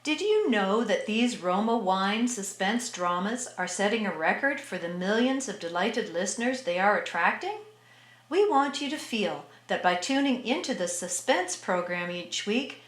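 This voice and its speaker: A woman's voice: medium pitch, animated, measured pace, a bit bright. A woman reading a sponsor message in the scripted, polished selling style of a 1940s radio advertisement. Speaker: sponsor announcer. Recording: echoey room.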